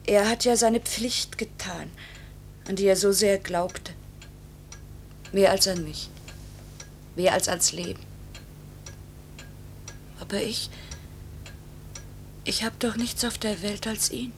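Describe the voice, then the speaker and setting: An actress playing a pilot's anguished wife in an old radio drama, pleading earnestly with quiet bitterness. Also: female voice, medium pitch. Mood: despairing. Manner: earnest, repetitive, measured phrases. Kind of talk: 1950s German radio play acting. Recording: faintly noisy vintage radio recording.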